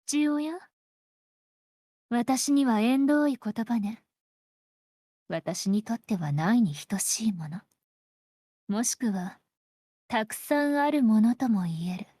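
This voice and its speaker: An actress playing an aloof, teasing young woman in a fantasy game, speaking softly with a detached, wry edge. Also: fairly high pitch, lively intonation, normal speed, pauses often, dry recording, studio quality. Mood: cool, teasing.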